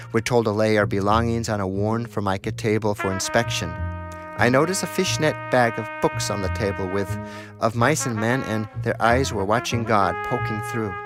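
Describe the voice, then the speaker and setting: A man reading scripted narration for a radio documentary feature. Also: on the low side.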